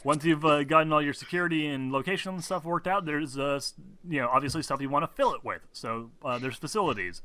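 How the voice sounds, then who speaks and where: Bright-voiced man explaining tabletop game rules. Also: a little high, fast talker, hardly any pauses, no room sound. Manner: hesitant, rambling delivery with casual swearing. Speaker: game master.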